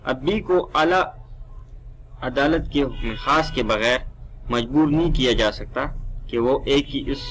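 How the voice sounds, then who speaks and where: Slow-paced man lecturing over faint noise. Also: medium pitch, fairly bright tone. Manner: measured, deliberate, instructional. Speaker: law lecturer. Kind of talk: reading statute in English and explaining it in Urdu.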